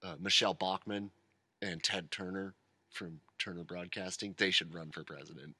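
A man's voice: low voice, fairly even intonation, normal speed, sharp tone, slightly rough, no room sound. A man co-hosting a casual podcast, joking and rambling with self-mocking asides. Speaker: podcast co-host. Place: rural home studio.

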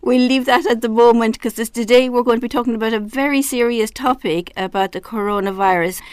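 Irish woman radio host, smooth voice, dry close sound, loose chatty delivery with fillers. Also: on the high side, fairly even intonation, brisk, a little mellow, dry recording. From Ireland. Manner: hesitant, chatty, many fillers and restarts. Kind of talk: casual radio interview.

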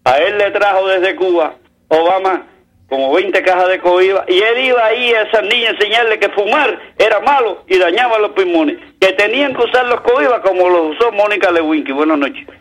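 A man's voice: high-pitched, brisk, fairly bright tone, rough recording. A man with a Cuban accent calling in to a talk radio show, giving opinionated political commentary in a colloquial, sardonic, idiom-filled way.

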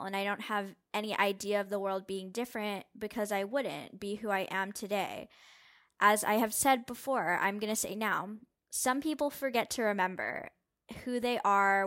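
A woman's voice: mid-range voice, fairly bright tone. A woman reading written interview answers aloud in a plain, candid, conversational way.

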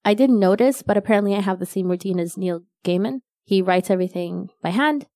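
A young woman whose first language is Arabic, chatting casually as a guest in an interview, self-deprecating and quick to laugh. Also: medium pitch, darkish tone, no room sound, clean studio sound. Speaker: novelist guest.

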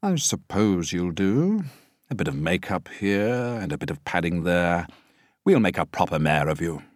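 A man voicing a bossy, showy male film director in an audiobook, brisk and commanding. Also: sits low, sing-song, no room sound, clean studio sound.

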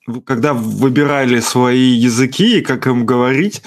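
A man's voice: medium pitch, normal speed. A man hosting a casual podcast chat, rambling with frequent fillers and restarts. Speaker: podcast host.

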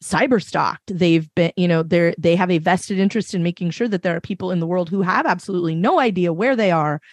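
A woman with a fairly low voice, speaking emphatically and passionately as a panelist in a casual discussion. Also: brisk, a little mellow, no echo, clean studio sound. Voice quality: reasonably low voice. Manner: emphatic, passionate, many fillers. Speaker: podcast panelist.

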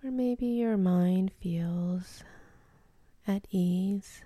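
A woman leading a guided meditation in a soft, breathy, soothing voice, gently giving instructions with long pauses. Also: medium pitch, dry recording.

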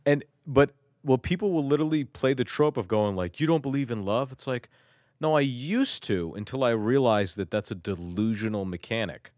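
A man's voice: mid-range voice, expressive, moderate pace, darkish tone, no room sound, clean studio sound. A man from Turkey talking in loose casual chat, rambling and self-mocking, with lots of swearing and restarts.

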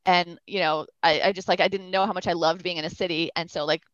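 A fairly low-pitched woman talks loosely in an interview, piling on fillers as she rambles through her answers. The sound is dry and a little dull, with no room echo. She comes across as a young adult from the Boston suburbs. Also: fairly even intonation, fast. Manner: rambling, many fillers like "like" and "you know". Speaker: photographer, guest. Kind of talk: casual interview chat.

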